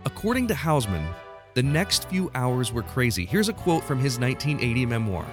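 A man's voice: medium pitch, sing-song, fairly quick. A man hosting a podcast, reading scripted documentary narration. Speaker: podcast host. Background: music bed.

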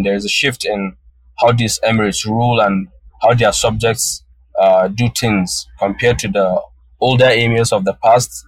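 A man's voice: on the low side, breathy edge, no echo, low-quality recording. A man from northern Nigeria whose first language is Hausa, answering interview questions thoughtfully and often restarting his phrases. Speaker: guest photographer.

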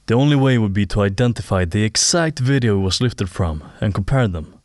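An actor playing a thoughtful, keen audio analyst helping an investigation in an audio drama, speaking a little hesitantly. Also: male voice, fairly low pitch, expressive, fairly quick, no echo, clean studio sound, close to an everyday voice. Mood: explaining.

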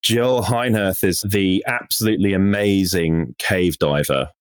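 A very low-voiced man in easygoing podcast banter, talking to his co-host. His voice is recorded close and dry, with no room around it. He sounds relaxed and a little teasing. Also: measured pace, no echo. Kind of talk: casual podcast chat.

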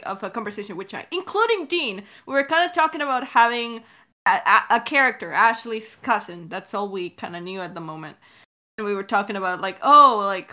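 A young adult woman co-hosting a creator Q&A over an online call, chatting in an informal, joking, animated way. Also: medium pitch. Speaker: podcast creator, co-host of a Q&A. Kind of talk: casual chat. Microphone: recorded over Discord call.

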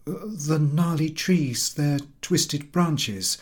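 A man's voice: on the high side, drawn-out words, slightly rough, voice pushed up, heavily acted. A man doing a bashful schoolboy. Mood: reluctant. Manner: hesitant, polite "please, sir" answers. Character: reluctant, embarrassed schoolboy, the top boy.

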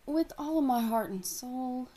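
An actress playing a devoted but secretive young fiancée, speaking gently and evasively. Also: female voice, high voice, animated, unhurried. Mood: hesitant. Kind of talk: audio drama acting.

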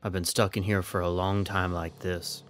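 Man acting a low-voiced, resigned young army corporal. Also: level tone, moderate pace, close to an everyday voice. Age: young adult. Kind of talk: audio drama acting. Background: background music.

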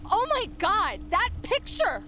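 An actress playing a brash, sarcastic, excitable female sidekick with a blunt manner in an audio drama. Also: very high voice, takes it slowly, slight background noise, exaggerated voice. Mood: shocked, indignant. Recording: loud music under dialogue.

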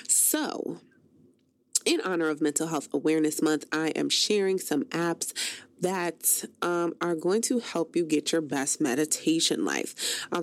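Low-voiced woman hosting a radio show. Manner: chatty, reads notes with asides and fillers. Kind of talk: casual radio talk, reading app reviews. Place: radio studio.

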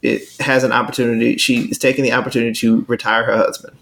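A man co-hosting a casual chat, talking emphatically and rising into sharp exclamations. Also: medium pitch, level tone, quick pace, vocal fry, low-quality recording. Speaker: podcast co-host.

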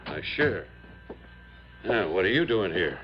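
An actor playing an uneasy, defensive miner in an old radio drama, halting and politely guarded. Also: male voice, low-pitched, very animated. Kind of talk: 1950s-60s American radio drama acting. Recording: dull old radio recording, faint music.

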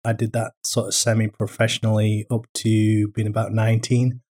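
A man chats as a podcast guest with dry, understated humor. His voice is low and quite flat in pitch. He talks in a relaxed, casual way. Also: moderate pace. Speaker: guest, business co-owner. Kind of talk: casual interview chat.

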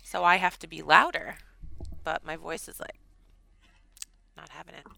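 A woman co-hosting a casual podcast chat, her voice slightly scratchy and tired, talking in a chatty, animated way. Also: low voice, creaky, no room sound. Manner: chatty, animated, quick laughs. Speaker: podcast co-host.

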